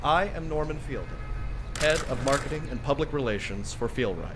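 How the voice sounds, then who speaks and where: A man's voice: mid-range voice, animated, fairly quick, noisy background, lightly acted. A man playing a formal media figure addressing the public in a scripted audio drama. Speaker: news reporter and company spokesman. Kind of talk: scripted news report and press conference acting.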